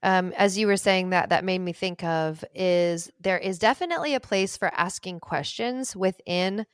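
A young woman co-hosting a podcast over a remote call, giving relaxed advice in a chatty way full of fillers and "like". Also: lowish voice, moderate pace, smooth, no echo, studio quality. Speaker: podcast co-host, intimacy coach. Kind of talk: casual advice chat. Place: small room at home. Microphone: studio mic on stand, headphones, remote call.

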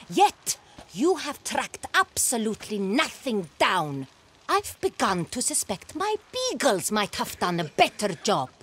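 An actress playing an imperious, impatient duchess in a fantasy video game, speaking in a haughty, formal, cutting way. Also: female voice, mid-range voice, breathy edge, full character voice. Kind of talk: dramatic video game acting.

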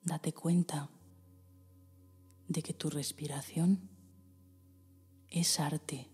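A woman with a Spain Spanish accent, guiding a meditation in a slow, soft voice that often drops into a whisper. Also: deep voice, fairly even intonation, big-room sound. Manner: slow, soft, breathy delivery with whispered passages. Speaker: meditation guide. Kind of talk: guided meditation.

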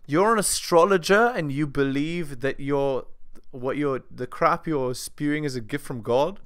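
A man's voice: a little high, measured pace, smooth. A man reacting to a clip with scornful, mocking commentary. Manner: scornful, dry, mocking asides. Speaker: reaction channel host.

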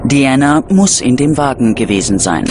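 A man narrating a scripted true-crime documentary in a dramatic, measured storytelling manner. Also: mid-range voice, lively intonation, noisy background. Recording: loud music bed under narration. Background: loud music.

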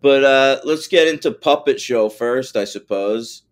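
A man in his forties co-hosts a film podcast in loose, rambling chat. His voice sits at a middle pitch, and he is wry, with casual swearing. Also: a little slow. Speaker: podcast co-host.

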